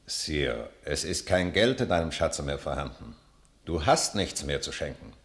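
An anxious court treasurer in a classic radio play. His very deep voice carries grave, apologetic reluctance. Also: big pitch swings, unhurried, a bit bright, exaggerated voice. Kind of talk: classic German radio drama.

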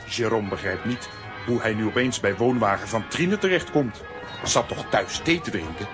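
A man's voice: lowish voice, animated, normal speed, music in the background, natural voice. An actor playing a simple, good-natured strongman in a children's audio drama, speaking blunt, broken sentences. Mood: puzzled. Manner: blunt broken telegram-like grammar.

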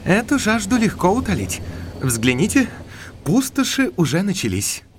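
An actor playing a talkative, learned country doctor with a scholarly, discursive manner in an audio drama. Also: male voice, sits high, huge pitch swings, normal speed, slight background noise. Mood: curious.

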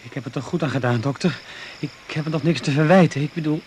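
A man's voice: a little high, quick pace, darkish tone, a little hiss or hum, lightly acted. An actor playing a shaken, apologetic man in a radio drama, stumbling over his words with self-reproach. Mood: shaken, ashamed. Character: shaken, apologetic male companion of the doctor.

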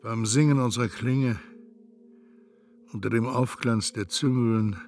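A man playing a solemnly resigned, doomed fat man in a literary radio drama, speaking in a deliberate, formal, carefully articulated way. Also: medium pitch, steady tone, a little mellow, soft background music, close to an everyday voice. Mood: solemn lament.

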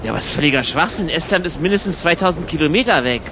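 A man's voice: a little high, expressive, quick pace, noisy background. A man playing an eager, practical young German emigrant in a radio play, chatty and mildly exasperated as he talks and narrates. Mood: urging, exasperated.

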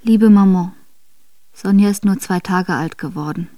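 A woman playing a devoted young exiled noblewoman in a radio drama, reading her letters in a measured, intimate and formal way. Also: mid-range voice, warm tone, no room sound, lightly acted. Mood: grieving, weary.

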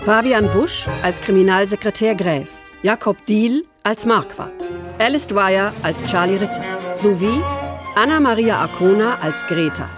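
A woman announcer reads scripted credits for a radio drama. Her voice is medium in pitch. Orchestral music with violin plays clearly behind her. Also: lively intonation, a little slow.

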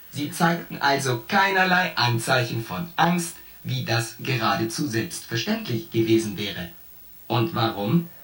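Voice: mid-range voice, fairly bright tone. An actor voicing a shipboard artificial intelligence in a science fiction radio play, coldly logical and pedantic as it itemizes its points. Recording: roomy echo, distant voice, music behind.

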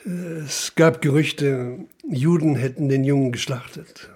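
A male actor in a German radio crime drama playing a dutiful local police officer, matter-of-fact and clipped, with a husky voice. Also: medium pitch, takes it slowly, a little mellow.

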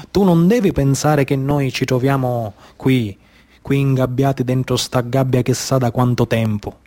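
Giant tuna acted by a man, Sicilian accent, gentle, reassuring, colloquial storytelling, radio play. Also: mid-range voice, close to an everyday voice.

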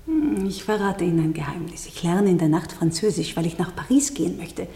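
Soft-voiced young dancer, echoing room. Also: female voice, lowish voice, animated, a little fast, creaky. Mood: confiding, wistful. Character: young variety dancer, guarded, dreamy, confiding. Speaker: radio drama actor. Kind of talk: intimate German radio play dialogue. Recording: reverberant room with long echo.

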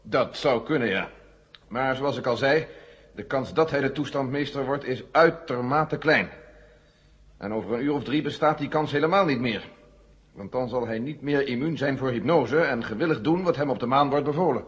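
Actor as grave general, middle pitch. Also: male voice, moderate pace, natural voice. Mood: grave, resigned. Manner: formal, placating. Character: calm, grave general chairing a tense press briefing. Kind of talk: 1970 Dutch science-fiction radio drama acting. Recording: muffled old radio recording.

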